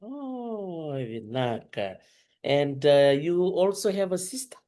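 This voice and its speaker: A talk show host with a warm, mellow voice at a middle pitch. The host asks questions gently, pausing often on "uh" and "eh" in a soft, unhurried interview chat. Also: very animated. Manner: soft-spoken, gentle questions with many hesitant fillers. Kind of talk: casual interview chat, switching between Fijian and English.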